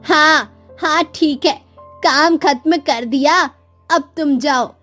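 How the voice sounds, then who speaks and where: Woman acting high-voiced, scornful, scheming in-law. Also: slow, a bit bright, dry recording, soft background music. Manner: haughty, scornful. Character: scheming, scornful mother-in-law and spiteful daughters. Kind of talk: cartoon moral story acting.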